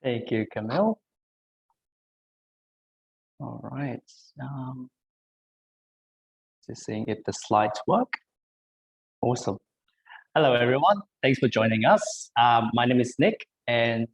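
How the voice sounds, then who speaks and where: A man in his thirties from Malaysia, giving an online career talk over a video call and pausing often with ums and uhs. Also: mid-range voice, poor audio. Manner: hesitant, many ums and uhs. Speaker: biomedical engineer, panel speaker.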